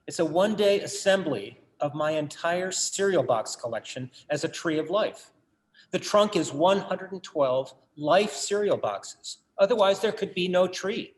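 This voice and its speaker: A man acting a brooding artist and obsessive collector in a stage play. His fairly high voice muses earnestly and wryly, like a theatrical monologue. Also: lively intonation, measured pace. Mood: wistful.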